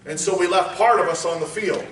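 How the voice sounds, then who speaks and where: A man preaching a sermon, moving from quiet teaching up to shouted exhortation. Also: high voice, fairly quick. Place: church sanctuary. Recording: echoey church hall, voice from far off.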